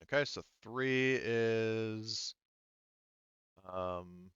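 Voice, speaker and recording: A man with a lowish, clean voice runs a tabletop roleplaying game. He talks rules through casually and often corrects himself. The sound is close and dry with a slightly muffled top. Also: drawn-out words, a bit bright, clean tone, no room sound. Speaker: game master.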